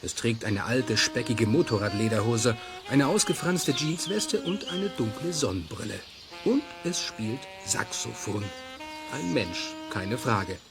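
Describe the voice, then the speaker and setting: A man narrating a children's radio play in an expressive, suspenseful storytelling manner. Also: mid-range voice, measured pace, vocal fry, over music.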